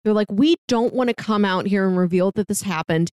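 Woman podcast co-host, mid pitch, casual chat, really dry sound. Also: fairly quick, darkish tone, clear, resonant tone, dry recording. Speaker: podcast co-host reviewing an audio drama. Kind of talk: casual discussion.

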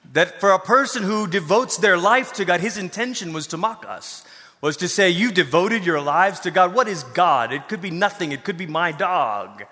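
A middle-aged man from Malaysia preaching a conversational sermon, telling personal stories in an informal, animated way. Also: high voice. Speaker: pastor. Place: large hall, preaching to a congregation. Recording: long reverberant hall sound.

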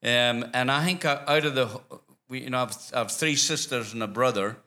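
A man's voice: mid-range voice, normal speed, bright, forceful tone. An older man from Scotland with a Scottish accent, telling his faith story as a guest in a church interview, rambling and restarting often.

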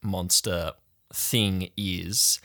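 Man playing a blunt, bossy camp girl, low voice. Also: fairly even intonation, very slow, bright, forceful tone, exaggerated voice. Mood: blunt, bargaining.

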